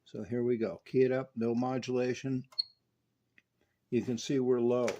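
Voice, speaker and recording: A man's voice: mid-range voice, little pitch movement, moderate pace, mellow, lo-fi sound, slight background noise. A man working as a radio repair technician, methodically narrating a hands-on demonstration for a customer step by step. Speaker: radio repair technician presenting his restoration work.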